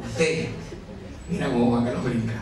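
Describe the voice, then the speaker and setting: A man's voice: medium pitch, moderate pace, darkish tone. A Venezuelan novelist in his forties, talking to an audience in a chatty, self-mocking way and laughing often. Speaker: novelist presenting his book. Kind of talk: conversational talk to an audience. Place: busy café with an audience. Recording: echoey café room, mic far off, crowd chatter.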